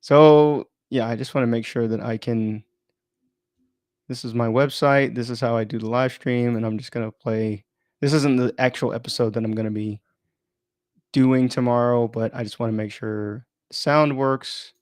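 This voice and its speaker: A man's voice: mid-range voice, steady tone, moderate pace, a little mellow, clean tone, no room sound, clean studio sound. A man chatting informally and thinking aloud as he runs an unplanned live stream, often interrupting himself in a rambling way.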